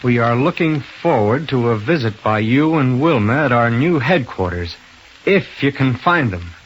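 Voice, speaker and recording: An actor playing a brisk, decisive, commanding space captain in an old-time radio adventure serial. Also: male voice, mid-range voice, animated, close to an everyday voice. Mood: businesslike. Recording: dull noisy old radio recording.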